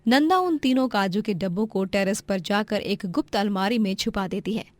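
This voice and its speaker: A woman's voice: mid-range voice, normal speed, dry recording. A woman narrating a scripted moral story as the storyteller.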